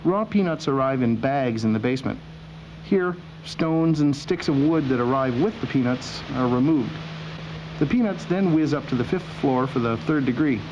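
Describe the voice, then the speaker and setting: A man with a medium-pitched voice presents a scripted documentary in a wry, deadpan way. The sound is dull and lo-fi, like an old video soundtrack, with a clear hum of machinery behind him. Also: normal speed. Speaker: host and narrator. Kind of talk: scripted documentary narration with dry humor.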